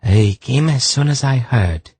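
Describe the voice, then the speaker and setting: A man's voice: medium pitch, lively intonation, a little slow, darkish tone, slightly rough, no room sound, pitched above the performer's own voice, heavily acted. A man narrating an audiobook voices an earnest, deferential young woman healer who speaks in an anxious, pleading way. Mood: concerned.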